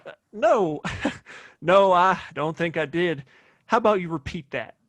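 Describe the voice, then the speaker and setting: A man's voice: sits high, expressive, a little slow, a bit bright, full character voice. An American man acting a cocky, hot-tempered gunslinging bully in a western audio play, with a blustering, folksy way of talking. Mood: menacing, indignant. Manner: blustering, folksy drawling insults.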